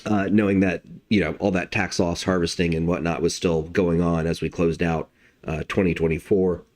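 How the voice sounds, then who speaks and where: A very low-pitched man with a slightly hoarse voice, hosting a live trading stream. He speaks in a relaxed, conversational way and fills his pauses with uh and um. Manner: relaxed, lots of fillers like uh and um. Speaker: trading analyst host. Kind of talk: casual live-stream market commentary.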